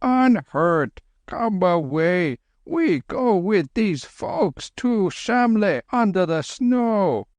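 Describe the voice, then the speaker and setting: A man voicing a gentle, serene old Tibetan holy man who speaks slowly and solemnly. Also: high-pitched, very animated, no echo, voice pushed up, exaggerated voice. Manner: slow, mild, solemn.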